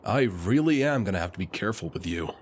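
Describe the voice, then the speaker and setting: A man playing a suave, teasing, flirtatious military officer and alchemist in an intimate roleplay audio, speaking smoothly. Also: low voice, expressive, a little hiss or hum. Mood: teasing, admiring. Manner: smooth, unhurried, playfully teasing.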